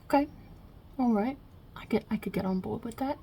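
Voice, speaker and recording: A woman's voice: a little high, moderate pace, gravelly, dark tone, a little hiss or hum. A woman voicing God as a brash, short-tempered, non-binary Italian mob boss in an ASMR roleplay, with a put-on Italian-New York accent and a blunt, chummy, rambling delivery. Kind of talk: ASMR roleplay interview, improvised.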